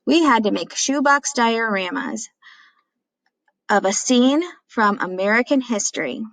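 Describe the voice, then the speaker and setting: A woman's voice: on the high side, unhurried, fairly bright tone, dry recording, low-quality recording. A woman voicing a chatty, self-mocking dad who tells a childhood story dramatically in a storybook read-aloud. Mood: playful.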